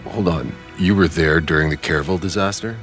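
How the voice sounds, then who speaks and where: An actor playing a gruff, sardonic monster hunter in a video game, terse and deadpan, with a gravelly voice. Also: male voice, deep voice, fairly quick, over music. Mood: wry, curious. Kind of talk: video game cutscene acting. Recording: clear rain and ambient noise behind.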